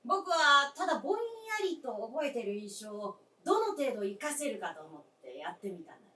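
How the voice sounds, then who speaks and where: A man playing a reflective grown son in theatrical radio drama style, speaking in a measured, wistful way. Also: extremely high pitch, very animated, sharp tone, a little hiss or hum, exaggerated voice. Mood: modest. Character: reflective grown son remembering his late mother. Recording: echoey stage, distant mic.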